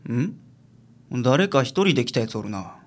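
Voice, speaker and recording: ラジオドラマで、関西弁のぶっきらぼうで愚痴っぽい私立探偵を演じる男性。. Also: male voice, medium pitch, big pitch swings, normal speed. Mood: brisk, commanding. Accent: Kansai. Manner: blunt, grumbling. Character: gruff, grumbling male private detective boss. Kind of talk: radio drama acting.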